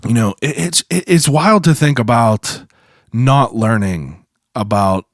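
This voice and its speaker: Hoarse man rambling in slang. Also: medium pitch, lively intonation, unhurried, no echo, studio quality. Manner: loose, rambling slang-heavy storytelling. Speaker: podcast host. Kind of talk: casual podcast monologue.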